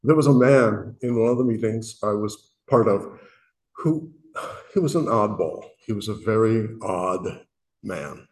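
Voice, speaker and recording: Booming-voiced man giving a halting, earnest message. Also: lively intonation, a little mellow. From Illinois, USA. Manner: earnest, halting, self-deprecating with frequent restarts. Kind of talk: reflective spoken message.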